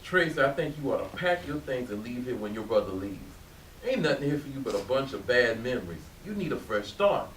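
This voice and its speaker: A man's voice: mid-range voice, sing-song, brisk, a bit bright. A man playing a laid-back, plain-spoken cook and caring family friend in a staged play reading. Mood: earnest, caring. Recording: echoey, hissy room from far off.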